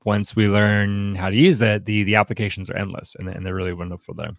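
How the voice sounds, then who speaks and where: A man hosting a podcast, discussing in a casual way, haltingly, often repeating words and saying "like". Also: lowish voice, level tone, creaky, no room sound, studio quality. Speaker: podcast host.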